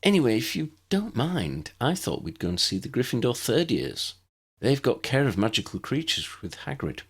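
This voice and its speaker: A man voicing a helpful, chatty older schoolgirl prefect in an audiobook, briskly and kindly explaining things. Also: mid-range voice, big pitch swings, husky, pitched above the performer's own voice. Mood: friendly, explaining. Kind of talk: audiobook character voice.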